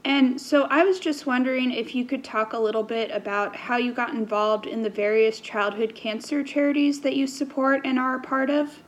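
A woman's voice: high-pitched, fairly even intonation, moderate pace, hardly any pauses. A woman sending in a video question, earnest and grateful.

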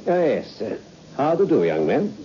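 An actor playing a vague, distracted professor in a 1960s radio drama, on an old hissy recording. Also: male voice, medium pitch, sing-song, normal speed, mellow, muffled recording, natural voice. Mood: absent-minded.

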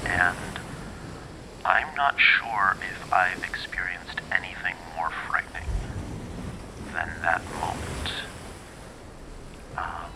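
Lost frightened man, audio fiction, slow and halting, flat intonation, breathy fragments, noisy rumbling background. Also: heavily acted. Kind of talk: intimate first-person audio fiction monologue.

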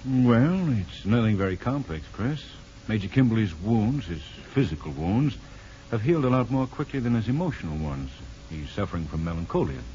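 Low, mellow male doctor, lively intonation. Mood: calm, explanatory. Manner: measured, explanatory, gently probing. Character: calm, kindly male military hospital doctor. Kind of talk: 1950s radio drama acting. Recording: muffled, noisy old radio broadcast.

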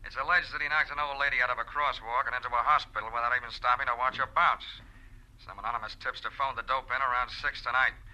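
A very bright, hard-edged man's voice as a homicide sergeant in an old radio drama. He rattles along briskly and gruffly, all business, with cop slang. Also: mid-range voice, fairly even intonation, poor audio. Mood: businesslike. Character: brisk, gruff, matter-of-fact male police homicide sergeant. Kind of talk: 1940s radio crime drama acting.

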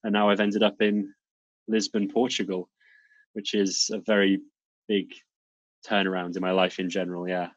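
British man, 30s, husky and low, relaxed guest, dull lo-fi line. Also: normal speed, long pauses, dry recording. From UK, South Wales. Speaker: guest, fitness instructor. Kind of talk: casual interview chat. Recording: dull, lo-fi dry recording.

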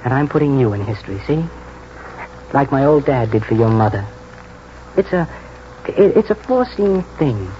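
An actor playing a keen, plain-spoken young English stable lad in a 1940s radio drama, halting and colloquial. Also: male voice, mid-range voice, big pitch swings, warm tone, natural voice. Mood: conspiratorial, earnest. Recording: muffled, noisy 1949 radio broadcast.

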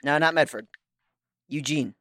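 A woman's voice: sits low, unhurried, fairly bright tone, a little hiss or hum. A woman playing an eager, bossy leader of a ghost-hunting crew in an audio drama.

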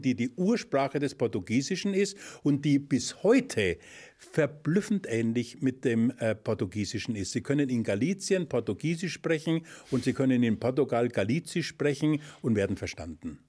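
A man's voice: mid-range voice, animated, normal speed, a little mellow. An older man explaining history in conversation, hesitant with many fillers, in a lecturing way. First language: Spanish-fluent German speaker. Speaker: expert guest.

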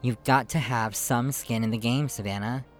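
A man playing a conciliatory, smooth-talking producer in a scripted drama. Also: mid-range voice, fairly even intonation, normal speed, soft background music, natural voice. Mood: placating. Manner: placating, persuasive.

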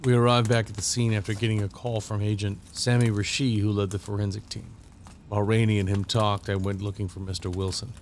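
A man's voice: lowish voice, level tone, brisk, slight background noise, close to an everyday voice. A man playing a counterterrorism agent who narrates an audio drama in a dry, understated way. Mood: measured narration. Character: male counterterrorism agent Blake, wry first-person narrator. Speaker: narrator and lead actor.

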